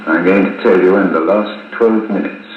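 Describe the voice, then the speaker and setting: An actor playing a weary, stoic army colonel, recounting events in a measured, formal way in 1950s radio drama style. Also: male voice, sits low, fairly even intonation, lightly acted. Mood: grave, suspenseful. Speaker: narrator and intelligence officer character. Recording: muffled, hissy 1950s wire recording, very echoey.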